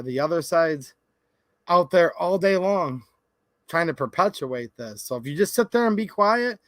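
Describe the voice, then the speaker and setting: High-voiced, fervent livestream host. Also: male voice, fairly bright tone, no echo. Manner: passionate and folksy, talks straight to viewers. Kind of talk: casual livestream chat, reading viewer comments. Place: home recording studio.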